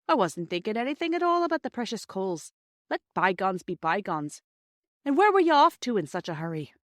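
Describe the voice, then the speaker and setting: A woman's voice: mid-range voice, big pitch swings, fairly quick, a bit bright. A genial railway station master from a storybook, acted by a woman, talks in a hearty, avuncular way. He is easygoing and kindly. The sound is dry, with no room echo.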